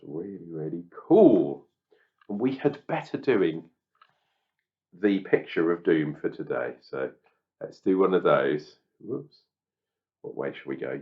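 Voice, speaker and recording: A man, husky and crackly from a cold, chatting casually through a beer review. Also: low-pitched. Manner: chatty and self-deprecating, muttering asides. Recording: slightly dull lo-fi sound.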